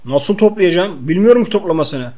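A man acts out a clumsy comic everyman in a video game role-play. He is high-pitched, slangy and given to whining complaints. The delivery is playful and improvised. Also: expressive, fairly quick, warm tone. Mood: easygoing, slangy.

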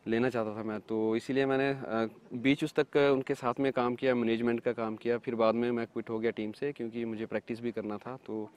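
A man from India, a paragliding pilot, answering interview questions in a relaxed, halting way with many fillers. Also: medium pitch, smooth.

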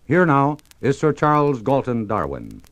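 A man's voice: mid-range voice, huge pitch swings, measured pace. A man presenting a 1950s radio programme, reading a scripted introduction in a formal announcer's manner.

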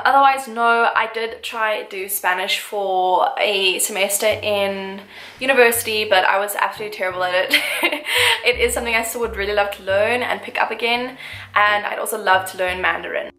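A woman's voice: medium pitch, pushed and bright. A woman, chatting casually to camera in a get-to-know-me vlog, gushing and full of fillers. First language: English. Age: 20s. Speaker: vlogger. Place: small room indoors, seated in armchair. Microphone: camera mic, medium framing. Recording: roomy echo, faint music.

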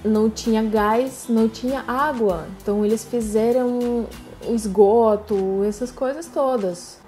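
A woman's voice: a little high, unhurried, soft background music. Chatty Russian-accented woman vlogger. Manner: chatty, animated, laughing asides. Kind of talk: casual home-tour vlog. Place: living room on a sofa, indoors.

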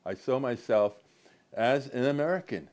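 A man's voice: medium pitch, expressive, normal speed, darkish tone. An older man being interviewed, talking firmly and emphatically about his identity. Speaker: museum founder interviewed.

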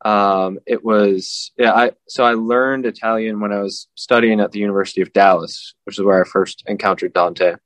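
A man, a translator, answering interview questions in a measured way with frequent pauses and fillers. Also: fairly low pitch, fairly even intonation, a bit bright, no echo. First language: English. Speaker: translator guest. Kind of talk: casual interview.

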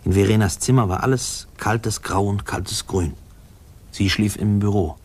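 A reflective, uneasy storyteller looks back on boyhood in an old radio play. His voice is low and husky. He narrates intimately, with hushed, halting phrases. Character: reflective man recalling his fearful, spying boyhood.

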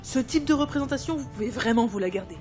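A woman playing a sharp-tongued, sardonic book editor in an audio drama. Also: fairly high pitch, lively intonation, fast, lightly acted. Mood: scornful, exasperated. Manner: cutting, sardonic. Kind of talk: French audio drama acting. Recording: music behind the voice.

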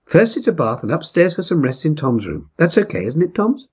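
An older man voicing a kindly mother bear from a fairy tale, gentle but firm and reassuring. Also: fairly high pitch, sing-song, fairly quick, breathy edge, voice pushed up, heavily acted.

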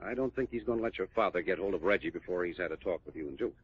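An American actor playing a bossy, wisecracking adventurer in an old-time radio drama, commanding and sardonic. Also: male voice, sits low, rapid-fire, breathy edge, no echo. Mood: persuasive. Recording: muffled vintage radio recording.

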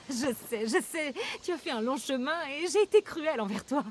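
High-voiced proud rebel leader. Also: female voice, lively intonation, moderate pace, slight background noise. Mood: warmer. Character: proud, shrewd woman leader of an island rebellion. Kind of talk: video game voice acting in French. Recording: game dialogue over loud music.

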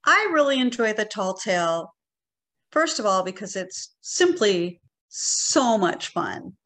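A woman's voice: mid-range voice, sing-song, slow pace, fairly bright tone. A middle-aged woman explaining things to an online club with enthusiasm and emphasis. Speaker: workshop presenter. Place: living room on a video call.

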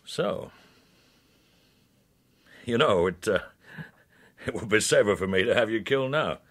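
An actor playing a commanding, suspicious security service boss in a radio crime drama, measured and menacing. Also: male voice, lowish voice, animated, a bit bright, full character voice. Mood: measured threat.

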